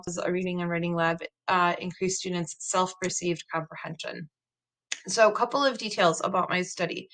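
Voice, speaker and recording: Bright-voiced woman presenting her research. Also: medium pitch, low-quality recording. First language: Croatian. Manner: earnest, explanatory, frequent "um" fillers. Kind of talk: recorded conference presentation over slides. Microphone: laptop webcam video call.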